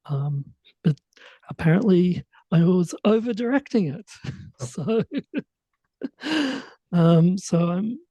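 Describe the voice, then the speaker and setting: A man's voice: high-pitched, animated, slow pace, long pauses, dark tone, no room sound. A man, a writer-director guest chatting casually in an interview, rambling and full of fillers. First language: English.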